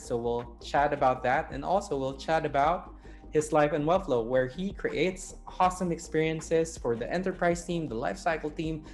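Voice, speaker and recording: A man hosting an interview show, introducing the guest in an upbeat, promotional way. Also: fairly high pitch. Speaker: podcast host.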